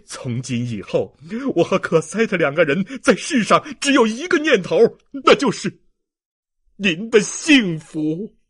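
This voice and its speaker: Guy playing a young husband in an audiobook, imploring and sorry, kinda husky, pitch all over. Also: big pitch swings, unhurried, fairly bright tone, full character voice. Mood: fervent, imploring. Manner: fervent, pleading. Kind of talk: dramatized audiobook reading.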